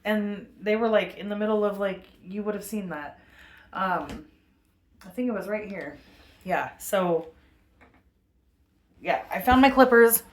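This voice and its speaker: A woman vlogging a rambling spiritual monologue to her camera, meandering with many fillers and pauses, with a commanding voice. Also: medium pitch, a little hiss or hum. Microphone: webcam.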